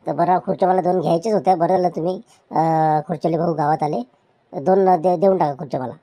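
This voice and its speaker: A woman's voice: fairly low pitch, fairly even intonation, fast, dark tone. A woman voicing a chatty village customer who rambles eagerly and haggles. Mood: eager haggling.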